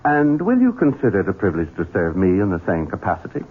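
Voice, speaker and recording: An actor playing a young, cruel, scheming heir who speaks in a cold, menacing, insinuating way, in a radio drama. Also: male voice, low voice, wildly swooping pitch, fast, a little hiss or hum. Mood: probing. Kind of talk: 1970s radio drama acting. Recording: tinny lo-fi radio broadcast.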